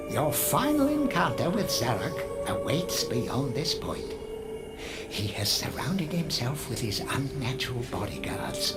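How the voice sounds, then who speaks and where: An actor playing a solemn otherworldly guide in a video game, speaking in a grave, portentous manner. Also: mid-range voice. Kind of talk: video game cutscene acting. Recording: loud game music over the voice.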